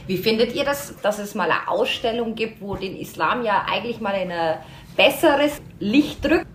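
A woman's voice: mid-range voice, lively intonation, moderate pace, slight background noise. Chatty woman hosting, roomy sound. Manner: enthusiastic, chatty. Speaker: podcast host. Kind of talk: casual interview. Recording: roomy echo.

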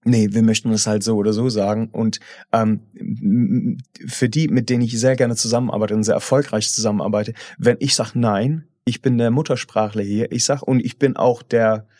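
A man's voice: on the low side, steady tone, moderate pace, a little mellow, dry recording. Blunt man co-hosting a podcast, dry sound. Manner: blunt, repeats words when restarting. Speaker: podcast co-host. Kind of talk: casual chat.

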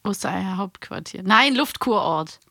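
A woman's voice: medium pitch, animated, a little slow, a bit bright, no echo. Playful woman co-hosting in a dry studio. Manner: playful, laughs often, quizzes her co-host. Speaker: podcast co-host. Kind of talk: casual chat.

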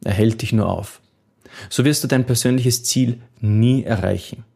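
A man's voice: medium pitch, unhurried, creaky, dark tone, breathy edge. A man coaching on memory techniques, answering a viewer's question in an earnest, encouraging way with occasional restarts.

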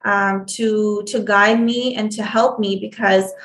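A woman guest telling her story in an interview, speaking haltingly with many fillers and restarts. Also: medium pitch, steady tone, a bit bright, roomy sound. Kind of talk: conversational interview.

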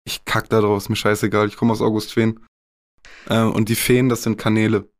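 Young man, low voice, laid-back, candid, casual swearing, conversational podcast guest. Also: brisk. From northwest Germany. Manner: relaxed, candid, with casual swearing and English slang. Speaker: rapper, interview guest.

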